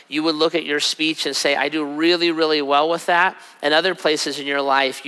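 Raspy-voiced pastor in a reverberant hall. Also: male voice, on the high side, bright, forceful tone. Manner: conversational, acts out quick asides. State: raspy from a cold trip. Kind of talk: sermon.

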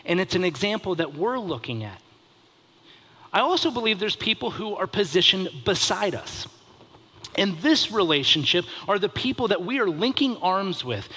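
A man's voice: high voice, pushed and bright, low-quality recording. A man in his thirties giving a conversational sermon as a pastor, earnest, with rhetorical questions and emphatic repetition.

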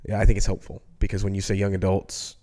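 A man's voice: low voice, flat delivery, quick pace, mellow. A man in his early forties talking casually and reflectively as co-host of a podcast discussion. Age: early 40s.

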